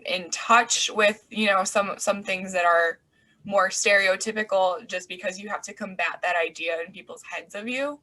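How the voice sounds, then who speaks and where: A woman with a bright, sharp voice co-hosts a casual podcast chat. She talks frankly and jokes, with curse-laced asides. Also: lowish voice. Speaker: podcast co-host, doctor. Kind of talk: casual conversation.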